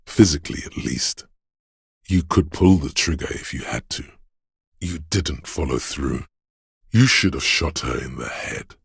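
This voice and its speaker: Very deep, gravelly, menacing inner voice. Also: male voice, lively intonation, no echo, clean studio sound, exaggerated voice. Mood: cold urging. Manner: brooding, theatrical, deliberate delivery with ominous weight. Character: menacing inner voice of fear and violent aggression. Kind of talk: second-person dramatic video game narration.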